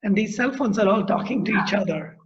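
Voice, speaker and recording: High-voiced Indian man on a video call. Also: slight background noise. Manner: thoughtful, explanatory, speaks in long measured phrases. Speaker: interviewer. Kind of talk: reflective conversation. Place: room at home. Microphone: laptop mic on a video call. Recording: dull lo-fi video call audio.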